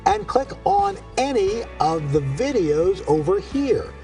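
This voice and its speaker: A woman's voice: medium pitch, huge pitch swings, slow pace, dark tone, recorded from a distance, faint music behind. A woman presenting an upbeat promotional outro for an online video channel.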